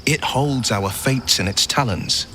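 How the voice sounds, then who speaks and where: Game role: erudite, theatrical man, mid-pitched and bright, with arch, wry delivery. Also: a little slow, slight background noise. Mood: wry, sceptical. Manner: eloquent, arch. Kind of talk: dramatic game dialogue.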